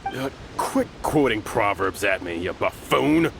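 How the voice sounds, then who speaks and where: Cocky, sneering thug, animated delivery. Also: male voice, on the low side, a little slow, creaky, music in the background. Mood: threatening. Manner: taunting, mocking, drawling emphasis. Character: cocky sneering male thug, bullying henchman. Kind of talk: video game voice acting.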